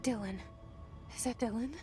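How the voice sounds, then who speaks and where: An actress voicing a guarded young woman's inner thoughts in a video game, hushed, intimate and wary. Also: moderate pace, music in the background, lightly acted. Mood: wary, intense. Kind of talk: video game internal monologue. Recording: rumbling background noise.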